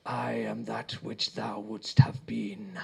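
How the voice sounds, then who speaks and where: A man voicing an ancient sea god speaking through a corpse, slow and archaic, with gloating menace. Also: fairly low pitch, level tone, vocal fry, mellow, breathy edge, exaggerated voice.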